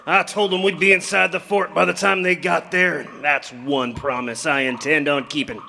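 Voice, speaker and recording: A man playing a gravelly outlaw boss. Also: a little high, steady tone, moderate pace, pushed and bright, slight background noise. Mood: gloating. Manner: barking orders. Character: ruthless male outlaw leader, gruff and scheming. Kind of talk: 1930s radio drama acting, live.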